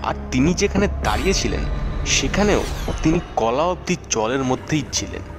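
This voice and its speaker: A man narrates a ghost story with suspense, in short measured phrases. His mid-pitched voice rises and falls in a very animated way. Music plays clearly behind him. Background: background music.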